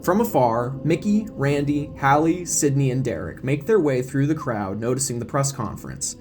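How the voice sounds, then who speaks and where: A man's voice: mid-range voice, expressive, normal speed, a little mellow. Man narrating an audio drama over music.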